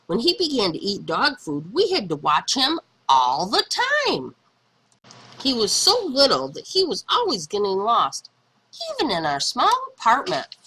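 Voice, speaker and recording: A woman reading a children's story aloud in an expressive, dramatic manner, stretching words for emphasis. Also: medium pitch, a little hiss or hum, poor audio. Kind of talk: expressive read-aloud narration for young children.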